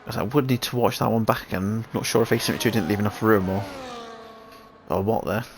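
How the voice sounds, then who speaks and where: A man commentating a sim race, thinking aloud in halting restarts, his voice croaky after sneezing. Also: on the low side, background noise.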